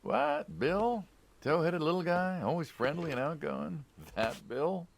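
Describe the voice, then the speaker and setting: A man's voice: a little high, sing-song, unhurried, vocal fry, slight background noise, full character voice. A man playing a friendly, inquisitive, wryly skeptical middle-aged American mobster in naturalistic video game dialogue. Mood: curious, teasing.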